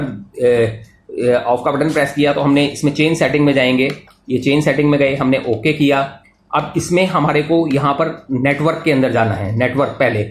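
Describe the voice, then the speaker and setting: Indian man demonstrating tech, echoey room. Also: fairly high pitch. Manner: repetitive, halting explanatory phrasing mixing in English terms. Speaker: tech tutorial presenter. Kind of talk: unscripted step-by-step product demonstration. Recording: echoey room, faint background noise.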